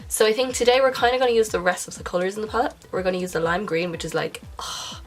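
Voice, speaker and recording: A young woman with a blocked, nasal voice talks through her makeup in a loose, friendly way, stopping to correct herself now and then. Her voice sits at an ordinary pitch. Faint music plays underneath. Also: quick pace. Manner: chatty, self-correcting, breaks into soft whispered asides. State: congested, nasal. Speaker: makeup vlogger. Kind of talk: casual makeup tutorial talk. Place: indoors at home, filming a close-up makeup tutorial.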